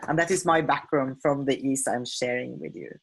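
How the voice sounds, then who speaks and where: A woman teaching feng shui and decluttering in a live webinar. English is not her first language, and she talks haltingly, with many fillers and self-corrections. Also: very deep voice, slight background noise. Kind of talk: live webinar sales pitch and Q&A. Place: home on a small island.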